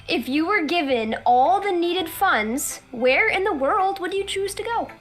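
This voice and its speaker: A woman's voice: very high-pitched, animated, measured pace. A woman hosting a casual livestream, putting questions to her guests in an informal, chatty way. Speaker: livestream host asking questions. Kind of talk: casual question-and-answer chat.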